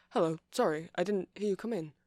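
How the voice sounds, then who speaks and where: A man's voice: high voice, moderate pace, darkish tone, dry recording, clean studio sound, close to an everyday voice. A man playing an awkward, rambling vampire music shop owner who stammers and hesitates in a casual ASMR roleplay. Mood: flustered, apologetic. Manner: hesitant, stammering, apologetic, nervously chuckling.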